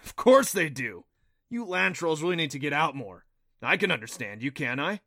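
A man's voice: on the high side, animated, a little fast, gravelly, pushed and bright, dry recording, full character voice. A man voicing a proud, impatient magical horned beast, explaining things emphatically in an audiobook. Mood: exasperated. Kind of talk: audiobook character voice.

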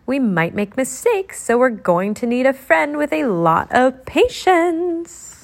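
Woman as a high, cheerful girl genie. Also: very animated, takes it slowly, gravelly, exaggerated voice. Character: cheerful, earnest twin sister girl genie. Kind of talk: children's storybook character voice.

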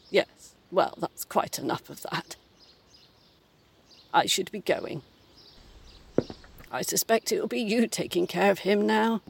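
A woman's voice: medium pitch, creaky, slight background noise. A woman playing a sardonic, formidable ghost of a mother in her seventies in an audio play, dry and genteel. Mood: softened, bossy. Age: 70s.

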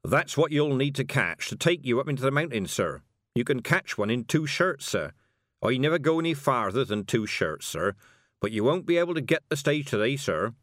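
A man's voice: mid-range voice, very animated, normal speed, fairly bright tone, no echo, studio quality, voice pushed up. A man voicing a deferential, grumbling village carter in an audiobook, with a reproachful manner. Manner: reproachful, keeps saying sir. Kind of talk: audiobook character voice.

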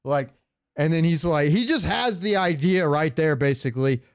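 A man co-hosting a podcast in casual chat, talking like an animated, argumentative fan. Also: a little high, moderate pace, smooth, dry recording, studio quality. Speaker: podcast co-host. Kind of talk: casual chat about game cinematics.

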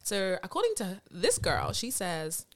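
A woman's voice: mid-range voice, huge pitch swings, unhurried. A young woman from Nigeria co-hosting a podcast, chatting casually and teasing her friend, with frequent laughs. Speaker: podcast co-host. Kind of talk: casual chat.

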